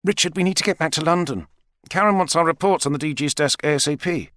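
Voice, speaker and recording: A man voicing a bold, outspoken woman with a brisk, challenging manner in an audiobook. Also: fairly high pitch, fairly bright tone, no room sound, clean studio sound, voice pushed up, lightly acted.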